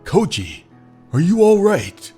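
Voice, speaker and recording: A man voicing a frightened young male fisherman who shouts in alarm. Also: sits high, very animated, slow pace, breathy edge, faint music behind, voice pushed up, heavily acted.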